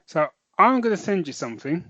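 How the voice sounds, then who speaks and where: A man's voice: high-pitched, sing-song, fairly quick, dull audio, over loud music. A man co-hosting a podcast in casual chat, laughing easily and restarting his phrases. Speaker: podcast co-host.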